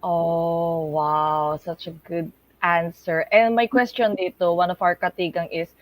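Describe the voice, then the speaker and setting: A woman's voice: on the low side, steady tone, a little slow, dry recording, poor audio. A woman with a Filipino accent hosting a casual radio interview, reacting with exclamations as she questions her guests. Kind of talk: casual bilingual interview, mixing Tagalog and English.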